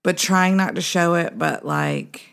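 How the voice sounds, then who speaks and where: A woman co-hosting a podcast in casual conversation, chatty and quick to cut in with her side. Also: lowish voice, huge pitch swings, creaky, fairly bright tone, smooth. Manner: chatty, interjecting, quick to respond. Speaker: podcast co-host. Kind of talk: personal conversation.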